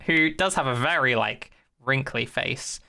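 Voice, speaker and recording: Podcast host, bright and clean-toned, casual and rambling, jokey with plenty of swearing. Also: mid-range voice, measured pace, vocal fry. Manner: sweary, jokey, mockingly acts out quotes. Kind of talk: casual rambling recap chat.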